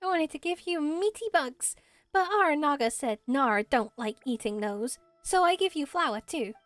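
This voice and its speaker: A woman voicing a small, gentle, childlike forest spirit in a video game, solemn and earnest, with quaint, ceremonious phrasing. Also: high voice, lively intonation, moderate pace, no echo. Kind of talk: amateur voice acting of video game dialogue.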